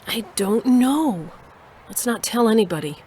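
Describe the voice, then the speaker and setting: A woman's voice: fairly high pitch, expressive, a little slow, mellow, a little hiss or hum. An actress playing a frazzled, menopausal woman with secret powers in an audio drama, emphatic and wry. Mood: startled, uneasy. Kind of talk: audio drama acting, naturalistic dialogue.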